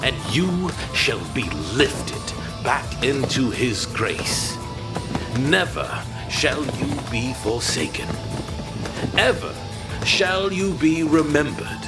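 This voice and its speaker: An actor playing a solemn angel who exhorts soldiers in grand, reverent fantasy audio drama oratory. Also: male voice, medium pitch, very animated, slow, loud music behind the voice. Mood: solemn exhortation. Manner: declamatory, reverent.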